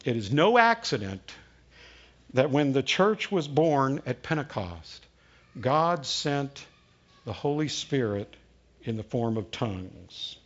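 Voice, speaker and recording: A man's voice: medium pitch, muffled recording. A man in his sixties with a Southern American accent, preaching a sermon as a pastor in a folksy storytelling way. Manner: folksy storytelling with frequent uh fillers.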